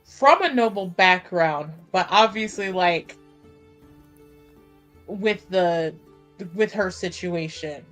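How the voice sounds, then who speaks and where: Low-voiced, unhurried woman playing a tabletop game. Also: fairly bright tone, faint music behind. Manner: informal, sweary, thinking aloud. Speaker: tabletop roleplaying player. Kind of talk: casual game chat with in-character narration.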